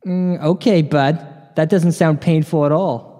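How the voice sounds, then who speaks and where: A man's voice: fairly high pitch, fairly even intonation, measured pace, dark tone, lightly acted. A man playing a dopey, laid-back guy who rambles hesitantly in a comedy audio drama. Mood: reluctant. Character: dopey, slack, slow-talking man who calls everyone bud. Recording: long reverb.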